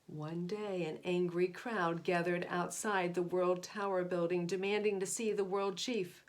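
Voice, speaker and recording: A woman's voice: lowish voice, steady tone, measured pace. A woman narrating a children's picture book aloud in a dramatic, emphatic way. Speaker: storybook reader.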